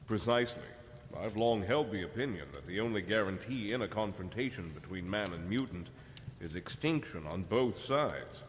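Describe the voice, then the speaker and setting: An actor playing a wise, calm telepath mentor who speaks in a measured, lecturing way. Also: male voice, lowish voice, slight background noise, close to an everyday voice. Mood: grave. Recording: long reverb over the voice. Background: music score.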